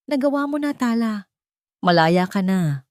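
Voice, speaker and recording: A woman's voice: mid-range voice, animated, unhurried, no room sound, studio quality, voice pushed up, lightly acted. Woman voicing a protective, firm, tender man. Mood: tender reassurance. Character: protective wealthy man, commanding yet tender.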